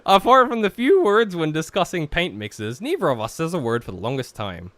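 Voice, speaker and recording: Animated, bright-voiced man hosting a game stream. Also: a little high, brisk, dry recording. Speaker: streamer hosting a visual novel playthrough. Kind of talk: casual group banter with read-aloud narration.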